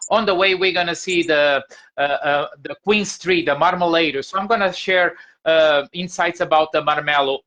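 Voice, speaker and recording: A man's voice: fairly high pitch, fairly bright tone. A man with a Portuguese accent, a tour guide talking playfully in a casual podcast chat. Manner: playful, self-deprecating. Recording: dull lo-fi audio.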